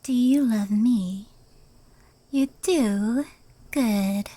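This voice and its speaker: A woman playing a proud, affectionate fox spirit in an intimate ASMR roleplay, speaking earnestly in a soft, breathy whisper. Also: a little high, very slow, no echo. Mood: tender, loving.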